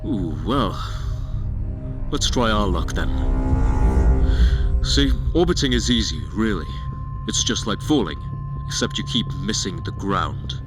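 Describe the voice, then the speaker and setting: Guy acting as cocky ambitious male starship pilot, talks casual, sci-fi radio play, loud music behind him. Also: on the low side, lively intonation, a little slow, pauses often. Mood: breezy, confident. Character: confident, ambitious male spaceship pilot, daring and driven. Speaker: actor in an audio drama. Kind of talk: science fiction audio drama acting.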